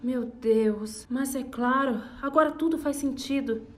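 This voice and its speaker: A woman's voice: high voice, measured pace. A woman playing a skeptical, intense scientist in an audio drama, questioning insistently and earnestly. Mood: shaken. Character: skeptical, probing female scientist, troubled and intense.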